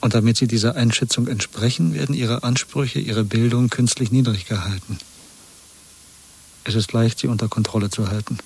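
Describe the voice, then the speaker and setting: An actor playing a weary, wary dissident lover in a 1970s German radio drama, with a husky voice and a measured, resigned delivery. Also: male voice, medium pitch, fairly even intonation, a little mellow. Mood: resigned, bitter. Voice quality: husky, breathy.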